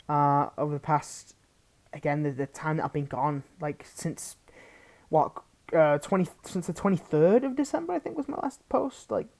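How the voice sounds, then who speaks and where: A woman's voice: low-pitched, darkish tone. A woman hosting her own channel, giving a casual, unscripted update to listeners in a hesitant, rambling way that often breaks off and restarts. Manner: rambling, hesitant, self-interrupting. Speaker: roleplay audio creator, channel host.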